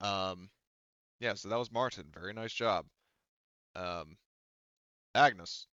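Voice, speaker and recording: A man's voice: low-pitched, slow, a bit bright, no echo. A man casually explaining rules and narrating as the game master of a tabletop roleplaying game. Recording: dull lo-fi microphone.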